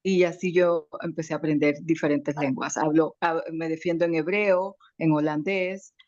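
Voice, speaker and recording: A woman with a slight foreign accent in her Spanish, giving an emotional, grateful personal testimony on a video call, halting with many restarts. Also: sits low, steady tone, darkish tone, no room sound. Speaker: webinar participant. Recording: dry, muffled video call audio.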